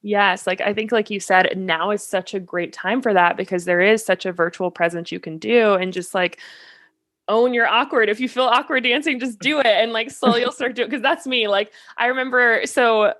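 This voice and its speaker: A woman's voice: medium pitch, fairly even intonation, normal speed, a bit bright, no room sound, studio quality. A woman hosting a casual conversational interview, speaking in an informal, encouraging and affirming way. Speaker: podcast host interviewing guests; fitness class instructor and coach.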